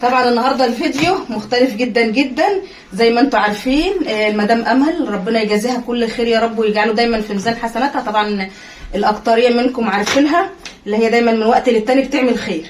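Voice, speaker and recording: A woman's voice: on the high side, very fast, echoey room, slight background noise. A woman with an Egyptian Arabic accent chatting casually in a home vlog, cheerful and encouraging, laughing often. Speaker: vlogger.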